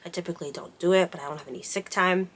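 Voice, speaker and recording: A woman's voice: lowish voice, steady tone, rapid-fire, fairly bright tone. A woman getting over a cold, sounding congested, chatting casually about her books with lots of fillers. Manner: chatty, rambling with frequent fillers. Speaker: book vlogger. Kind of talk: casual chat.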